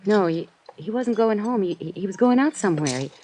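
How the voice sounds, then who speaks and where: A woman's voice: mid-range voice, lively intonation, fairly quick, mellow, natural voice. An American woman playing a nervous, evasive lunchroom waitress in a 1950s radio drama, hesitant and defensive. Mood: uneasy, evasive. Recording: faintly noisy old radio recording.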